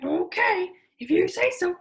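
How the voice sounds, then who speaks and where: A woman's voice: very high-pitched, lively intonation, measured pace, a little hiss or hum, pitched above the performer's own voice, full character voice. A woman voicing an eager childlike puppet friend who reads a picture book to children in an exaggerated, wondering manner. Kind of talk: animated children's storybook read-aloud.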